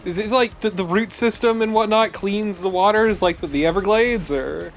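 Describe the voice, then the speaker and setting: A man's voice: sits high, moderate pace. A man chatting casually as a Let's Play co-host, wry, joking and prone to swearing. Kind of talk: casual chat over gameplay. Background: game music.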